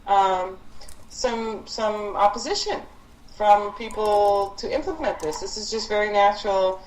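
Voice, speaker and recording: A woman leading a conference call briefing for project teams, speaking in a halting, thinking-aloud way with frequent pauses. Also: mid-range voice, darkish tone. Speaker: program leader briefing student project teams. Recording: echoey conference call with background noise.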